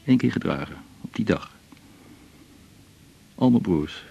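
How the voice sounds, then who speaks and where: An actor playing a melancholy man in his forties in a radio drama, with a gravelly, husky voice, confiding in a halting, reflective way. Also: lowish voice, lively intonation, warm tone, exaggerated voice. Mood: pained remembering. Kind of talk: naturalistic radio drama dialogue.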